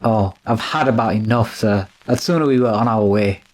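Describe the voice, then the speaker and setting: A man's voice: fairly low pitch, normal speed, close to an everyday voice. A man playing a timid, complaining manservant in old-time radio drama, fretful and deferential toward his master. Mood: weary, grumbling.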